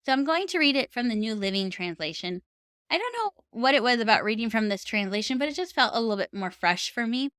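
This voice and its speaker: A woman's voice: fairly high pitch, very animated, fast, fairly bright tone, clean tone, no echo, clean studio sound. A woman speaking as a podcast host, giving advice in an earnest, conversational and encouraging way. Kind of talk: encouraging advice talk to camera for writers.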